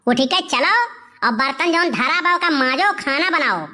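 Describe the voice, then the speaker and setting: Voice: sits high, expressive, normal speed, sharp tone. A performer voicing a bossy, scolding village housewife with an Awadhi accent in a comic cartoon.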